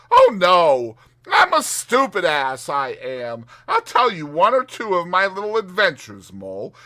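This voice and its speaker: A man voicing a puffed-up, boastful toad gentleman in a storybook, with swaggering, theatrical emphasis. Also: high voice, voice pushed up, exaggerated voice.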